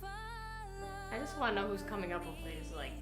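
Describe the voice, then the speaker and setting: A woman's voice: medium pitch, wildly swooping pitch, normal speed. A woman from the United States chatting casually and slangily as a host of a reaction channel. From United States, Miami. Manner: slangy, sweary. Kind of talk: casual music video reaction chat. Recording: roomy echo, music playing behind.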